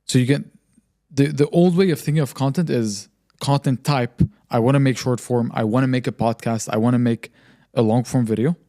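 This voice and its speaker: A man's voice: mid-range voice, fairly quick, warm tone. A young man hosting a podcast, giving confident advice in a conversational explanatory way. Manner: confident, explanatory, frequent emphatic repetition. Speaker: podcast host and marketing agency founder.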